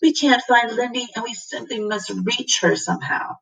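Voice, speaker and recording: An eager, excitable boy adventurer from a storybook, acted by a woman reading aloud. The voice is pitched up and a little distant from the microphone. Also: moderate pace, creaky, slightly rough, exaggerated voice. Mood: worried.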